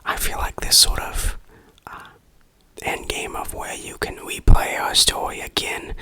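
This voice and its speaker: A person whispering an informal, opinionated ASMR game review in a breathy, unvoiced voice. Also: unhurried, pushed and bright.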